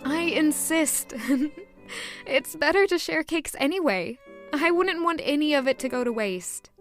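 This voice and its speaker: A woman's voice: high voice, expressive, measured pace. A woman playing a polite, curious, easily flustered young noblewoman in an audio drama, earnest and eager. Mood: friendly. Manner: earnest, courteous. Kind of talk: audio drama acting with inner monologue. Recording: music behind the voice.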